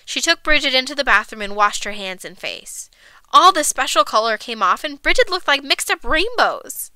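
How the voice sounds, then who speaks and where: A woman reading a children's storybook aloud as a storyteller, expressive and emphatic. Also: sits high, moderate pace, clean tone, no room sound. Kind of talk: animated storybook read-aloud.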